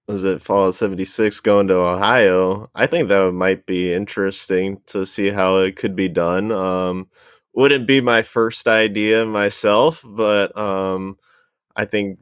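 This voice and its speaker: A man's voice: sits low, fairly even intonation, a little slow, clean tone, no echo, clean studio sound. A man chatting casually as a panel guest, in a laid-back, slangy and somewhat hesitant way. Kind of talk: casual chat.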